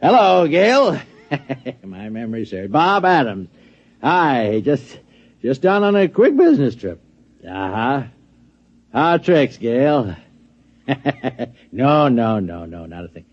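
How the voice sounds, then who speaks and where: A man's voice: mid-range voice, very animated, slow pace, long pauses. A man playing a genial government official who coaxes and placates in an American accent, hesitant and repeating himself, in a 1950s radio drama. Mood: chummy, awkward. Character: genial, coaxing government man plying a guest for secrets. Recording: muffled old radio broadcast recording.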